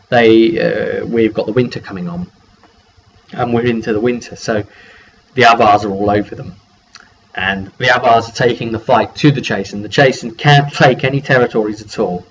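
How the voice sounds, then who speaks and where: A man's voice: fairly low pitch, moderate pace. A man giving a casual, rambling, conversational game master's recap of a tabletop role-playing session in a one-take vlog. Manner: rambling, conversational, acts out brief dialogue. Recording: dull lo-fi sound, clear background noise.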